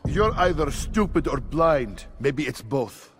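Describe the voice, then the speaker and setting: A man playing a gruff, blunt guard in a video game cutscene. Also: a little high, sing-song, slow, in a large hall. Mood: stern.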